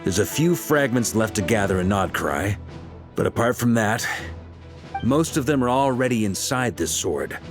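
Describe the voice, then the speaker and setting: A man playing a confident, seasoned knight commander in a video game, explaining things in a genial, assured, measured way. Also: lowish voice, expressive, over music, close to an everyday voice. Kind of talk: video game dialogue acting.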